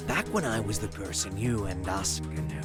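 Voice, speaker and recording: A man's voice: lowish voice, very animated. A man voicing a weary, broken sorcerer who once taught others, in a video game. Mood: weary, bitter. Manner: slow, weary. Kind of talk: English game voice acting. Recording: very loud music behind.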